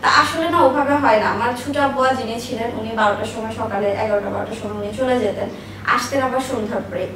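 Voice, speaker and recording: High-pitched, quick-talking woman in echoey room. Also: a bit bright. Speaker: interview guest, business owner. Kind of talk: personal life storytelling in an interview. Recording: echoey room, distant mic, faint noise.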